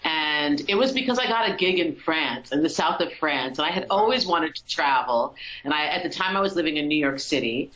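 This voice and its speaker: Bright-voiced woman on a muffled video call. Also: low voice, expressive, fairly quick, few pauses, echoey room. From Colorado, USA. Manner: warm, reflective, with frequent ums. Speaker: musician guest. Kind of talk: casual interview conversation.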